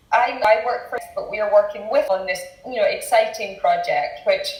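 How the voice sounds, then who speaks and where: A woman with a Scottish accent interviewing a guest on a video call, hesitant with frequent fillers and quick bursts of speech. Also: fairly high pitch, echoey room, rough recording.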